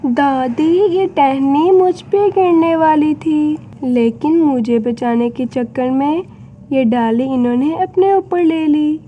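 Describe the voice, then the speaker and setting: A woman's voice: high voice, slow pace, lo-fi sound, slight background noise. A woman voicing an earnest, polite little boy bird in a cartoon, childlike and pleading. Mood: urgent, earnest.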